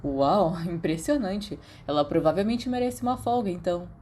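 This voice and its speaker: A woman's voice: on the low side, moderate pace, warm tone, a little hiss or hum, lightly acted. A Brazilian woman voicing an easygoing, self-deprecating teenage schoolboy in an audiobook, dry and understated. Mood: sincere.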